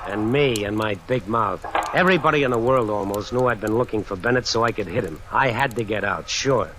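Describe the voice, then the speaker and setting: A man's voice: on the low side, a little fast, background noise, natural voice. An actor playing a cocky, belligerent drifter in an old radio drama, with wry, slangy tough-guy talk. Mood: uneasy. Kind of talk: 1950s radio drama acting, first-person noir narration. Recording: old radio recording with music.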